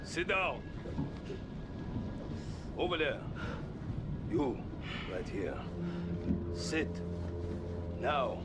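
A man's voice: sing-song, extremely slow, pauses often. An actor playing a young, hot-headed pirate henchman in a horror game, bullying and barking aggressive orders. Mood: bossy threat. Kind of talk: video game horror acting. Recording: loud score over engine rumble.

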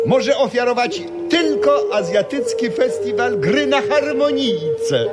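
An actress playing a gushing, slogan-spouting festival enthusiast in a stylized radio drama. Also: female voice, a little high, animated, slow pace, loud music behind the voice.

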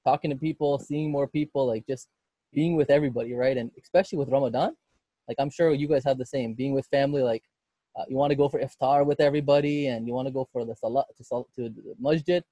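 A man's voice: mid-range voice, fairly even intonation, fast talker, dark tone, no echo, muffled recording. A young adult man co-hosting a podcast interview, chatty and casual with lots of fillers. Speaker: podcast co-host.

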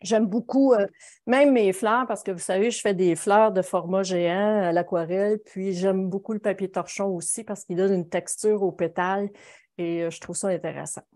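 A woman's voice: medium pitch, steady tone, normal speed, darkish tone. Middle-aged female art instructor, Quebec French accent, conversational live demo on a video call. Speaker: watercolour painting instructor. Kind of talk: live painting demonstration, informal explaining. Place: home studio, indoors.

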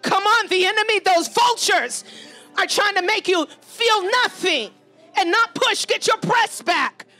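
A woman's voice: very high-pitched, unhurried, pushed and bright. A woman preacher leading fervent charismatic prayer, urgent and pleading, exhorting with repeated phrases.

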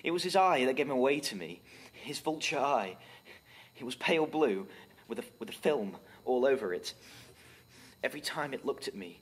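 An actor playing an agitated, paranoid murder suspect in a gothic audio drama, stammering and groaning theatrically. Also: male voice, mid-range voice, animated, normal speed, close to an everyday voice. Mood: haunted. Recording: faint background noise.

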